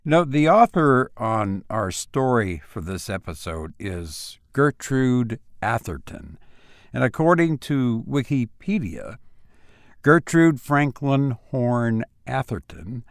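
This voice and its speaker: A man speaking as a podcast host and story reader, with measured, deliberate phrasing, close on a voice-over mic. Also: medium pitch, dry recording. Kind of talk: podcast introduction and audiobook-style narration.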